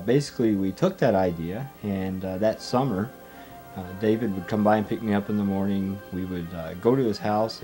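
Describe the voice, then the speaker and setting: A man in his forties reminisces in a casual interview. His voice is fairly low, with hesitant pauses, and music plays clearly behind him. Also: dark tone, low-quality recording. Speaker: interviewee, former studio member.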